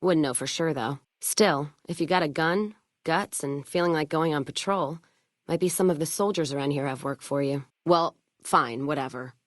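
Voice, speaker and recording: An actress playing a hard-drinking, foul-mouthed, bitter caravan trader in a video game, with a gruff, sardonic, blunt manner. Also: female voice, low-pitched, normal speed, no echo, clean studio sound, close to an everyday voice. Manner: gruff, sardonic, blunt frontier drawl of speech, dropped words. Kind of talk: video game character dialogue.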